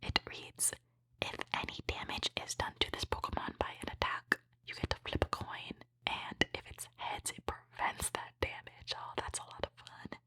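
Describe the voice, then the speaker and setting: A woman whispers softly and without voicing, in a bright, breathy tone that sits close and dry on the microphone. Her delivery is gentle and appreciative, in the style of an ASMR host talking through trading cards. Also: normal speed, dry recording, poor audio. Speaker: host opening trading card packs. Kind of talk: whispered ASMR card commentary.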